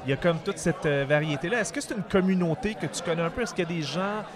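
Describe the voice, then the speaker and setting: A man's voice: sits high, quick pace. A man casually interviewing guests with long conversational questions. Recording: busy crowd noise behind.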